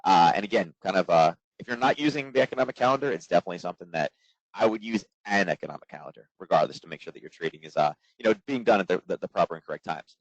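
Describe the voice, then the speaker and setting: A man in his forties, hoarse from coaching, giving a trading webinar in a quick, casual way full of fillers. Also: low-pitched, animated, no room sound. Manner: quick, filler-heavy, conversational. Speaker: trading instructor. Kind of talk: live webinar presentation. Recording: dull, dry lo-fi webinar audio.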